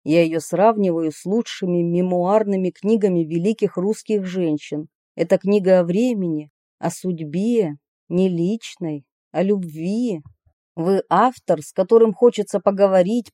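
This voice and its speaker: A woman reading personal letters aloud in an expressive way. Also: on the low side, no room sound, clean studio sound.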